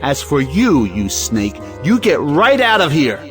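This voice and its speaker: A hot-tempered patriarchal brother , acted by a man, lays down the law in a stage drama. His fairly high voice swings widely in pitch as he blusters and commands, with faint music behind him. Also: huge pitch swings, unhurried, fairly bright tone, heavily acted. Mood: angry. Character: domineering, hot-tempered moralizing older brother. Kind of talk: audio play acting of an old stage drama.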